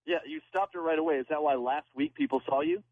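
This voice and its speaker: Fast-talking man on a thin phone line. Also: a little high, dry recording. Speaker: radio caller. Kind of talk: casual phone-in conversation. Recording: thin, narrow telephone line.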